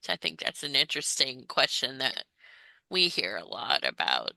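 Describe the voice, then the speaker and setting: A woman interviewer putting questions in a measured, slightly hesitant way. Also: extremely low pitch, wildly swooping pitch, gravelly, bright, forceful tone, no room sound, poor audio.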